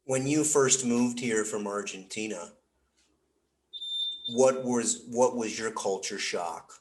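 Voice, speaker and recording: Low-voiced American man hosting interview. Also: slow. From United States. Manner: chatty, long winding questions. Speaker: podcast host. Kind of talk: interview questions over a video call. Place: San Jose. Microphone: video call.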